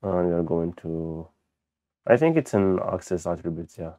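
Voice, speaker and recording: A man who speaks as a gives an unscripted software walkthrough, haltingly thinking aloud as he demonstrates. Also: low-pitched, animated, dry recording. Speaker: software tutorial presenter. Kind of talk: unscripted screen-recorded tutorial walkthrough. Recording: dull, lo-fi close microphone.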